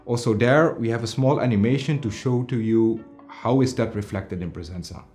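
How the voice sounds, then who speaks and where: A man's voice: medium pitch, normal speed, a little mellow, soft background music. A man in his forties giving a semi-scripted product presentation to camera in an indoor studio.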